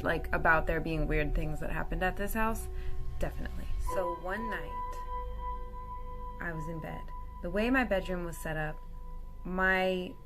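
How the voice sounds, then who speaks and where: A woman host telling a personal story in a theatrical, playful way, with informal, chatty asides. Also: on the low side. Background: music.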